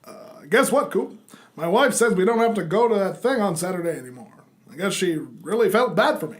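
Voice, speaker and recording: Hapless henchman husband, read by a man, high voice, casual and a bit whiny, amateur comedy reading. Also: expressive, brisk. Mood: sheepish.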